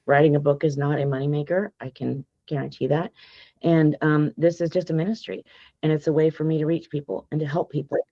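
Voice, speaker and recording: Woman, hoarse, earnest, emphatic, dull lo-fi audio. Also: low-pitched, dark tone, dry recording. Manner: earnest, emphatic, speaks from faith. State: hoarse from allergies. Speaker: guest author. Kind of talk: podcast interview.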